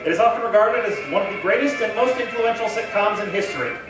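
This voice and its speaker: A man's voice: high voice, animated, quick pace. A man working as an announcer, reading scripted narration. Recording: echoey distant hall, music playing.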